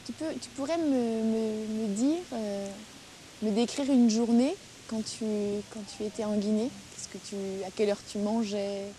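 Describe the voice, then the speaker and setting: A woman teacher in a classroom, speaking slowly and articulating carefully to encourage her pupils. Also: on the high side, warm tone, a little hiss or hum. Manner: slow, careful enunciation, encouraging.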